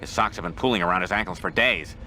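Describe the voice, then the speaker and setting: A man's voice: low voice, pushed and bright, faint music behind. A man voicing a fussy, harried, devoted assistant in a cartoon game, prim in manner. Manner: prim, hurried. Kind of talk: cartoon game cutscene acting.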